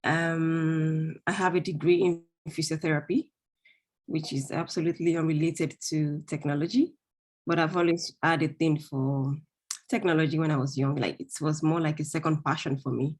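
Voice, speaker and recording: A woman with a low voice talks in a relaxed, chatty way and thinks aloud as she goes. She grew up in Greece. She speaks over a video call. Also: normal speed. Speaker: course participant. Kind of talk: casual self-introduction.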